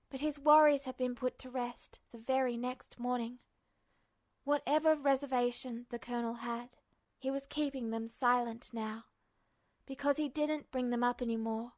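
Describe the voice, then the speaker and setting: A woman narrates prose fiction in a fairly high voice. She reads from a script in audiobook style, as the storyteller of a fan fiction recording.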